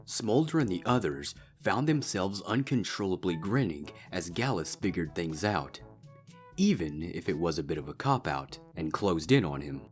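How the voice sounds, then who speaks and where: A man's voice: low-pitched, lively intonation, normal speed, faint music behind. A man reading a scripted fan fiction story aloud as its narrator.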